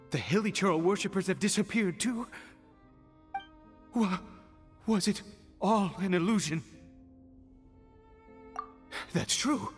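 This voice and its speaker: An actor playing an anguished, fanatical father in a video game, speaking in a halting, dramatic way and repeating words with frantic urgency. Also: high voice. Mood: awed, disbelieving. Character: anguished fanatical father searching desperately for his son.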